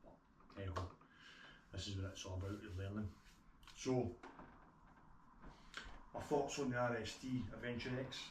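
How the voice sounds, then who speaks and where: A man's voice: lowish voice, normal speed, pauses often. A man with a broad Scottish accent giving a casual, unscripted gear review in a plain-spoken way. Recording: roomy echo, distant mic, clear background noise.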